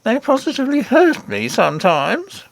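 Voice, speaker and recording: A man's voice: extremely high pitch, huge pitch swings, moderate pace, creaky, no room sound, voice pushed up, full character voice. A henpecked goblin king from a storybook, played by a man, speaking in a raised, swooping voice. He strains for dignity and coaxes in a pompous way. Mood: reasoning, aggrieved. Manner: dignified, coaxing.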